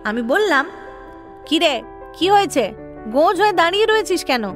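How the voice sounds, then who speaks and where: Actress as scheming lovestruck young woman, audio drama narrator. High voice, reverberant, exasperated and confiding. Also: very animated, normal speed, fairly bright tone, full character voice. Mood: impatient, scolding. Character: scheming, proud, restless young woman in love, narrating her story. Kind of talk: dramatic first-person audio story narration. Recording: long reverb, music behind. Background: music.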